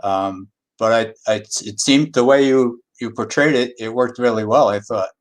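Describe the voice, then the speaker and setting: A man, a playwright, answering casually and haltingly on a video call. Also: mid-range voice.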